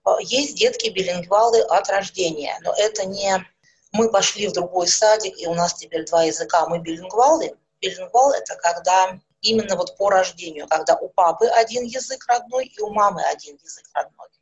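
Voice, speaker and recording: Woman, middle-aged, husky voice, calm explainer, muffled dull recording. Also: medium pitch, a little fast. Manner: calm, explains with everyday examples. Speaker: speech therapist and psychologist guest. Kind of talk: explanatory interview talk.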